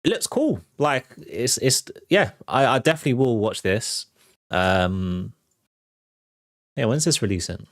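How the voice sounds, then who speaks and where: A man's voice: mid-range voice, lively intonation, a little slow, no echo, studio quality. A man hosting a film show, giving casual, off-the-cuff commentary on trailers. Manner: informal, off-the-cuff.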